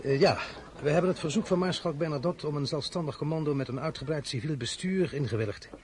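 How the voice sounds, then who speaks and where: An actor playing an imperious, slightly awkward emperor in a radio drama, formal and commanding with hesitations. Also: male voice, fairly high pitch, fast talker, noisy background, close to an everyday voice.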